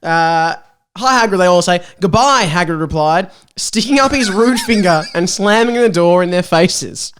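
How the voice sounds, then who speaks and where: A man's voice: high voice, lively intonation, moderate pace, fairly bright tone, clear, resonant tone. A young man reads and chats casually as a podcast guest. His intonation swings up and down a lot, and he is playful and giggly as he ad-libs. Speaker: podcast guest reading aloud. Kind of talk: casual comedic chat and story reading.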